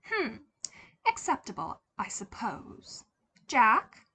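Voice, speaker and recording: A woman's voice: fairly high pitch, wildly swooping pitch, takes it slowly, pushed and bright, slightly rough, heavily acted. A woman voicing an elegant, haughty mother in a story, prim and lightly teasing as she talks to her young son. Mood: prim, scolding.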